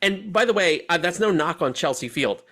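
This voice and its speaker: A man hosting a movie podcast, chatting casually and giving animated opinions with slang and swearing. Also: sits high, moderate pace. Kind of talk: casual chat, film discussion.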